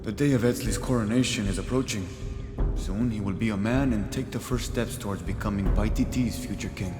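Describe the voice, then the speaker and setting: Actor as dutiful rebel guard, low voice, formal, roomy echo and music. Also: male voice, a little fast, close to an everyday voice. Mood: earnest. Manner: earnest, respectful, formal. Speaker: rebel soldier at his post. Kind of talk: video game cutscene dialogue. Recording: echoey, reverberant game mix.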